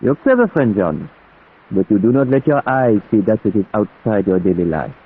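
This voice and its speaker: Elderly vampire hunter, lowish pitch, grave, deliberate, insistent, old radio drama, narrow tinny sound. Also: male voice, sing-song, breathy edge, full character voice. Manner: solemn, deliberate, foreign phrasing. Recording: muffled, narrow-band old radio recording.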